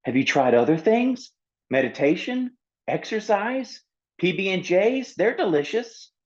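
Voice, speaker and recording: A man's voice: high-pitched, big pitch swings, a little slow, darkish tone, lo-fi sound, voice pushed up. A man voicing a demanding, hot-tempered young girl in a picture book read-aloud. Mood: pleading.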